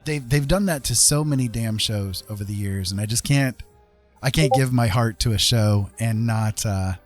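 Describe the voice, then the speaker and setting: A man's voice: fairly low pitch, normal speed, faint music behind. A middle-aged man chatting casually as a livestream host, rambling and emphatic, often repeating his phrases.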